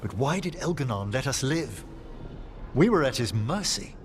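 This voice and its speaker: An actor playing a refined scholarly gentleman in a video game, formal and precise. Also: mid-range voice, sing-song, moderate pace, faint music behind, lightly acted. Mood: grave, formal. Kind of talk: video game cutscene acting.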